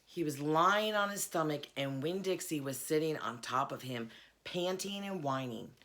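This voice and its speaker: A woman reading a children's novel aloud in an expressive storytelling manner. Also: low-pitched, normal speed. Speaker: reader, school board member.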